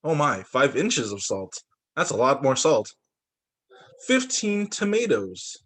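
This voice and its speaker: A man's voice: mid-range voice, lively intonation, measured pace, a bit bright, husky. A man reading a children's story aloud as a teacher, expressively, with exclamations and little asides of his own. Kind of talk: animated picture-book read-aloud with asides.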